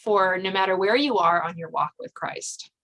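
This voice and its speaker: A woman's voice: medium pitch, moderate pace, fairly bright tone, low-quality recording. A woman hosting a podcast interview in an informal, agreeable way, thinking aloud as she goes. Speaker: podcast host. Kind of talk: conversational interview.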